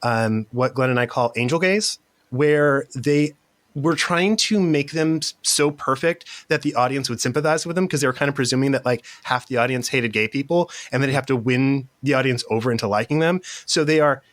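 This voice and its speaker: A man from Central California speaks as a guest on a casual podcast. His voice sits at an ordinary middle pitch, and he lays out his ideas in a frank, chatty, explanatory way, swearing now and then. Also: normal speed, fairly bright tone. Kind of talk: casual chat.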